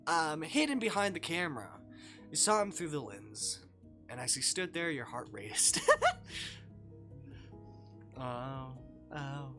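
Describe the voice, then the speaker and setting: A game streamer reads a story aloud in a mid-pitched voice. The delivery is informal, slipping between narration and personal asides. Music plays clearly behind the speech. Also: big pitch swings, slow pace, long pauses, pushed and bright. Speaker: streamer reading a visual novel aloud. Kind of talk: live read-aloud narration with casual asides.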